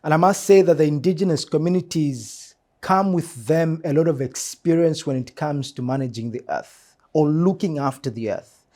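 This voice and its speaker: A man with a Zambian accent speaks in a slightly high, warm and relaxed voice. He answers like a church official in an interview, earnest and measured, leaning on repeated phrases to press his point. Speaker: church mission secretary.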